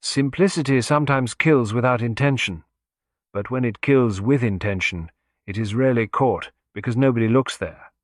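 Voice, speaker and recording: Guy doing a clever polite detective, mild and deliberate, philosophical, storybook mystery, dry sound. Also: mid-range voice, dry recording, lightly acted. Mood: grave, deliberate.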